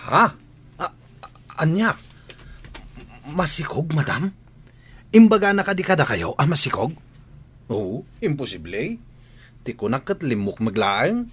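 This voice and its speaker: A man's voice: a little high, sing-song, measured pace, pauses often, fairly bright tone, husky, heavily acted. A man playing a flustered, deferential, bumbling servant who stammers, in an Ilocano radio comedy drama. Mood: flustered, defensive.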